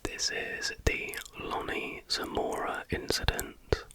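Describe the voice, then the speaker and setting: An ASMR host speaking in a breathy, unvoiced whisper, reading aloud in an unhurried, informal way.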